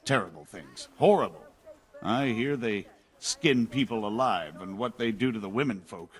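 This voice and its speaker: A man's voice: on the low side, expressive, normal speed, lightly acted. An actor playing a fearful, horrified medieval townsman in a video game, speaking grimly and emphatically. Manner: grim, emphatic. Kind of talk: video game dialogue acting.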